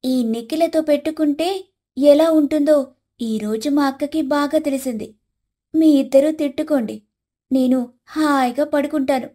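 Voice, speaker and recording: A spiteful young daughter-in-law, acted by a woman, with a high voice. She is curt and defiant, a sharp-tongued wife in a cartoon family tale. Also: a little slow, long pauses. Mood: gloating.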